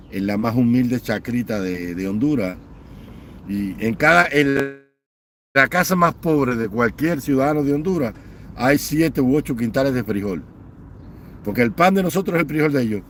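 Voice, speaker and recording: Man, angry political rant, mid-pitched, faint noise behind, repetitive scornful listing. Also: a bit bright. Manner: fiery, repetitive listing, mocking. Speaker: political commentator.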